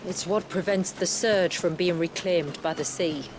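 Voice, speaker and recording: An actress playing a steadfast village elder and guide in a video game, speaking in formal, measured, archaic phrases. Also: female voice, on the low side, noisy background, lightly acted. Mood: solemn. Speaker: village elder guiding travelers. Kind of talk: video game cutscene acting.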